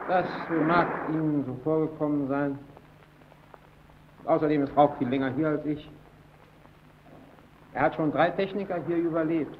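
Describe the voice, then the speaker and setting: An actor playing a guarded, brooding lighthouse technician in an old radio play, terse, evasive and defensive. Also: male voice, a little high, steady tone, moderate pace, long pauses, close to an everyday voice. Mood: guarded, gloomy. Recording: dull, noisy old radio recording.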